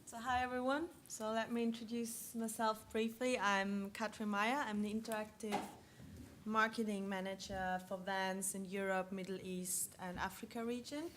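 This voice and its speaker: A woman with an Austrian accent presents a conference session, walking through her slides at a middling pitch. She explains step by step, often pausing on ums and restarting sentences. A faint hiss of background noise sits under her voice. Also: fairly even intonation.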